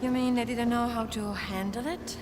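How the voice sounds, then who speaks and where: A woman's voice: on the high side, steady tone, moderate pace, background noise, close to an everyday voice. A woman playing a spirited, determined young adventurer in a video game. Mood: curious. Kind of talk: cinematic adventure game acting.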